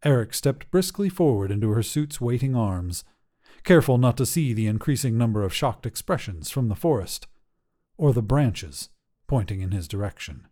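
A male podcast host reads a short story in an expressive way. His voice is mid-pitched and mellow, with very animated intonation that rises and falls through each sentence. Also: moderate pace. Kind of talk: scripted short story narration.

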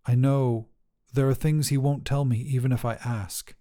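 A man narrating first-person fiction as a desperate, oxygen-starved astronaut, in deliberate, intense, measured phrases. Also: medium pitch, steady tone, dark tone. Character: desperate oxygen-starved astronaut telling his own story. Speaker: story narrator.

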